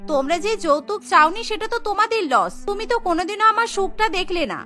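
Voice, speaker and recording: A woman's voice: extremely high pitch, big pitch swings, a little fast, bright, forceful tone, faint music behind, exaggerated voice. A woman voicing a spoiled, haughty young daughter-in-law in a cartoon, whiny and demanding. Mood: defiant. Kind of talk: cartoon storybook dialogue.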